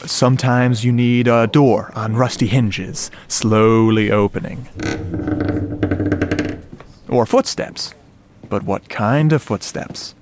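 A man's voice: mid-range voice, slow pace, pauses often, background noise, lightly acted. A man acting in a radio drama as an enthusiastic sound-effects guy, explaining things conversationally with questions to the listener. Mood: casual, eager.

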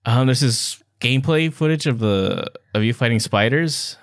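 A man's voice: medium pitch, moderate pace, no echo, studio quality. A man co-hosting a podcast, chatting casually in a hesitant but playful way. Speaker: podcast co-host. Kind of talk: casual chat.

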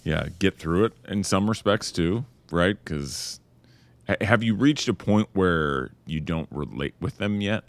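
A man hosting a podcast, chatting in a relaxed, casual way and swearing freely. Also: low-pitched, unhurried. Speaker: podcast host. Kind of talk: casual conversation.

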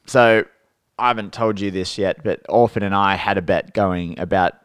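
Hoarse man co-hosting a podcast. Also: low-pitched, normal speed, no echo. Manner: chatty, laughs easily. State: hoarse, recovering voice. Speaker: podcast co-host. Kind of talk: casual chat.

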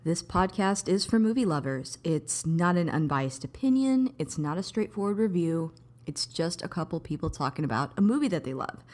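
A woman's voice: lowish voice, expressive, moderate pace, darkish tone. Lady hosting a movie podcast, friendly and chatty. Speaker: podcast host. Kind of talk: casual podcast chat.

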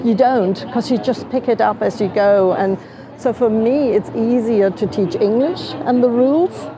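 A woman's voice: a little high, normal speed, a crowd in the background. A middle-aged German-born woman talking about language teaching in an interview, thoughtful and hesitant, with frequent fillers. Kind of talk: casual interview conversation.

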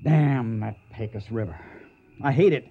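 An actor playing a gruff, hot-tempered trail boss in a radio western, with a folksy American Western drawl and an emphatic, commanding way of talking. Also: male voice, medium pitch, a little slow, phone-quality sound. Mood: grumbling. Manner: folksy, emphatic, barking orders.

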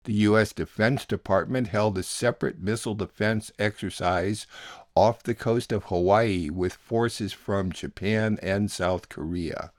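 A man with a fairly low voice presents a radio show. He reads scripted announcements and links between items, and the recording is dry, without room sound. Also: unhurried, no echo. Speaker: radio show host and producer.

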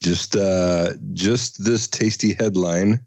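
A man's voice: low-pitched, steady tone, slow pace, darkish tone, no room sound. A man co-hosting a beer podcast, teasing the others with wry remarks in casual chat. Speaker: podcast co-host.

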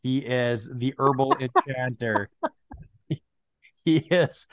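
A man's voice: mid-range voice, slow, no echo. A man hosting a podcast, giving hyped, joking introductions in casual chat. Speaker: podcast host.

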